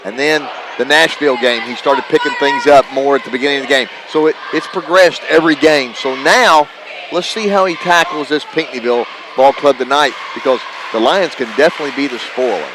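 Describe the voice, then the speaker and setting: A man's voice: medium pitch, a little fast. A man giving conversational, emphatic color commentary during a live basketball broadcast. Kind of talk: live sports analysis, conversational. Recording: noisy live gym broadcast. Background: gymnasium ambience, bouncing basketballs.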